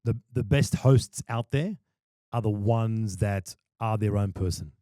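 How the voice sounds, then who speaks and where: Passionate emphatic man with a dark voice. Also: fairly low pitch, a little slow, dry recording. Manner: passionate, emphatic, repeats words when starting. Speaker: podcast guest, wedding MC. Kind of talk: casual podcast chat.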